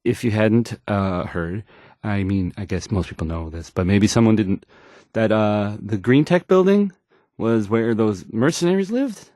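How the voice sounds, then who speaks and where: A man plays a painfully awkward game-world radio DJ with a lowish, dry-recorded voice. He stammers, hesitates and second-guesses himself as he reads out the news. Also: mellow, dry recording, close to an everyday voice. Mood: nervous, hesitant. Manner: halting, stammering, hesitant, awkward, self-doubting. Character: nervous, awkward, insecure male radio DJ. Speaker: radio DJ character.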